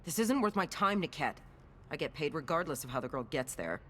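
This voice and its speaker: A woman's voice: low-pitched, very animated, fairly quick, fairly bright tone, slight background noise. An actress voicing a cocky, ruthless woman mercenary captain in a video game, impatient, mocking and sneering. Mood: scornful. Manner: sneering, scornful.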